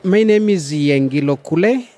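A middle-aged man with a South Sudanese accent, explaining things conversationally as an interview guest in a measured way. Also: on the high side, animated, dark tone. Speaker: interview guest, microfinance chief executive.

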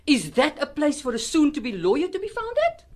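A woman's voice: high voice, wildly swooping pitch, a little fast, a little mellow. A woman playing a harried, strict mother in a radio drama, exasperated and fussing, with a Cape Town South African accent. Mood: stern, exasperated. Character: harried, strict, fretful middle-aged mother. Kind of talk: amateur radio drama acting.

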